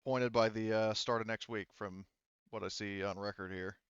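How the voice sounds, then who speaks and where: A man's voice: lowish voice, steady tone, moderate pace, a bit bright, smooth, no echo. A man playing an agreeable colonial supervisor in a tabletop game, speaking politely and hesitantly on a slightly muffled recording. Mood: courteous, approving. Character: agreeable male colonial supervisor, courteous and official.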